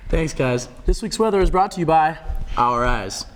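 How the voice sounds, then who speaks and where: A man's voice: on the high side, lively intonation, moderate pace, low-quality recording. A young American man presenting a comic mock weather report for a student newscast.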